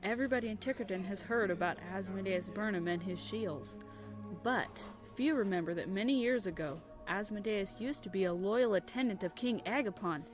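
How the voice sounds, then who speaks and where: A woman's voice: medium pitch, lightly acted. Actress as a kindly storytelling woman, music behind. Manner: earnest, deliberate storytelling. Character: kindly grown woman telling a child a story. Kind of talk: audio drama storytelling.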